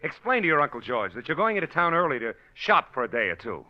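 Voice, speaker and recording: An actor playing a smooth-talking, scheming bigamist husband in old-time radio drama, hurried, evasive and impatient. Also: male voice, fairly high pitch, big pitch swings. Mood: eager, persuasive. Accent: American. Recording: muffled vintage radio recording.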